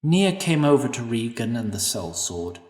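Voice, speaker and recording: A man narrating an audio drama in an expressive storytelling manner. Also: mid-range voice, normal speed. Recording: echoey room, distant mic.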